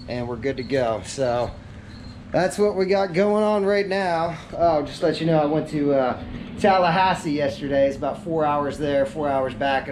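A man's voice: a little high, a little mellow. A man giving a casual, folksy how-to narration while he works hands-on, thinking aloud in informal language. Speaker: vlogger showing a DIY truck install. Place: outdoors in and around a parked truck in a driveway. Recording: clear background noise, vehicle ambience.

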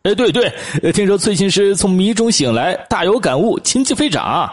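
A man voicing excitable, opinionated townsfolk gossiping in an audiobook, with assertive chatter. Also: sits high, moderate pace. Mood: knowing, eager. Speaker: audiobook voice actor. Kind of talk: acted audiobook dialogue.

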